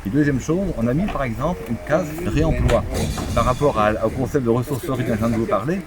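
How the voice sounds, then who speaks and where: A man's voice: mid-range voice, fairly quick. A middle-aged man with a French accent, a local official interviewed on site, talking with many fillers and restarts. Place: outdoor recycling center. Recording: outdoor crowd noise.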